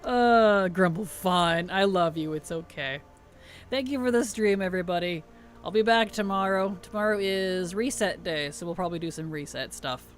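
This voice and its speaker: A woman game streamer chatting casually and playfully on a livestream, her tired voice starting to weaken and turn gravelly. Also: on the low side, normal speed. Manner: playful, teasing, chuckles often. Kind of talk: casual livestream chat over gameplay.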